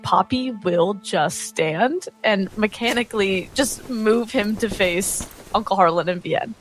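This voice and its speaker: A woman's voice: medium pitch, unhurried, soft background music, poor audio. A woman playing a tabletop game, casually describing actions and talking in role.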